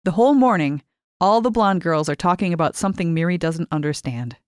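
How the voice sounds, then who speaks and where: A woman reading short fiction as a scripted narration, measured and clearly articulated. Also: fairly low pitch, expressive, dry recording, clean studio sound. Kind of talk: scripted audiobook-style narration.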